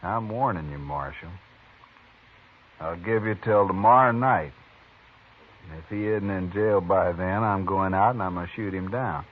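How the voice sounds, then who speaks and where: An actor playing an angry, threatening Old West townsman, husky and blunt, in 1950s radio western style. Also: sits low, measured pace, long pauses. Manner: blunt, defiant, folksy grammar. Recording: muffled 1950s radio recording.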